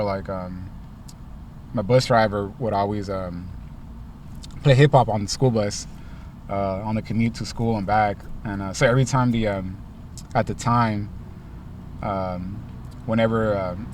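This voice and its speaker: A young man from Los Angeles, a rapper, answering interview questions in a car in a relaxed way, with lots of ums and likes. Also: fairly low pitch, far from the mic, slight background noise. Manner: laid-back, many ums and likes. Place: inside a parked car.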